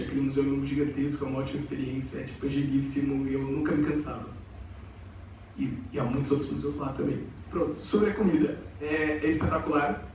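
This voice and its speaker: A young Brazilian man talks loosely to a student crowd, rambling and full of fillers. His voice sits a bit high and comes from far off the mic in a very echoey hall with faint noise underneath. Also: a little mellow. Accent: Brazilian Portuguese, with some Portugal slang. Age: young adult. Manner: chatty, rambling, many fillers. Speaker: exchange student presenter. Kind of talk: informal presentation to students. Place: large auditorium.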